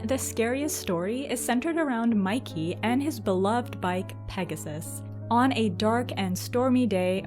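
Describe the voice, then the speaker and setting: Woman host, fairly high voice, dramatic scripted storytelling, clear music bed underneath. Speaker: host and narrator of a countdown video. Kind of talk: scripted narration about cartoons. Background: music behind the voice.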